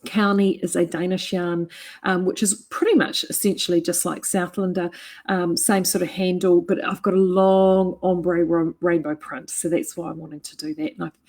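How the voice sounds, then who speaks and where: A woman's voice: fairly low pitch, flat delivery, normal speed, darkish tone. A middle-aged woman with a New Zealand accent chatting casually to camera from her yarn shop, enthusiastic and full of fillers and restarts. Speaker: yarn shop owner and knitting vlogger.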